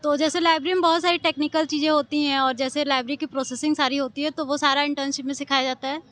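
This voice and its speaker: A woman's voice: high-pitched, steady tone, fast, fairly bright tone. A young woman in her twenties, a graduate answering interview questions and explaining her study methods step by step. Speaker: gold medalist graduate.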